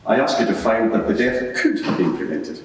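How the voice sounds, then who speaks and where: A man's voice: lowish voice, very animated, a little fast. A man playing a scornful Roman procurator, arguing in formal, rhetorical court style in a large echoing room. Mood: formal, assertive. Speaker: advocate in a mock court inquiry. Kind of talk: courtroom cross-examination and closing submission. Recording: very echoey hall, far from the mic.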